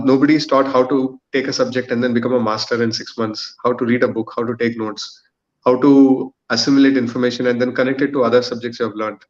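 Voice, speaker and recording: A man in his thirties speaks on dull, lo-fi audio as a podcast guest. He explains in a thoughtful, structured way, often hesitating as he builds his answer. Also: mid-range voice. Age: 30s. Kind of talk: thoughtful panel discussion answer.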